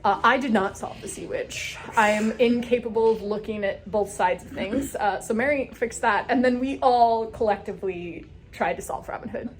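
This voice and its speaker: A woman's voice: fairly high pitch, moderate pace, few pauses. A woman chatting enthusiastically and casually as the host of a group recap vlog.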